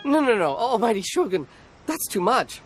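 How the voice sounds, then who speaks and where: A woman's voice: on the high side, big pitch swings, moderate pace, a little hiss or hum. A woman voicing a humble street food seller in a video game, nervous, deferential and earnest. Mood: deferential, sheepish. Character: nervous, humble female street snack vendor, eager and deferential. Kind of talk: scripted video game dialogue.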